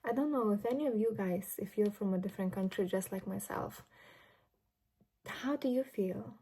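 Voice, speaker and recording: Earnest woman with a mellow voice. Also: mid-range voice, breathy edge. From Lithuania. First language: Lithuanian. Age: late 20s. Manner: earnest, personal, unhurried with fillers. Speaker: vlogger. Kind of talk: reflective vlog monologue. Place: grandmother's home indoors.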